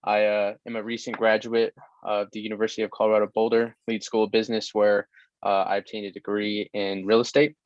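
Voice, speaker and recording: A man's voice: fairly low pitch, level tone, fairly quick, dry recording. A young man in his twenties eagerly introducing himself on a video panel, leaning heavily on "you know". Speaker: recent graduate, startup founder. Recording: dull, dry, muffled webcam audio.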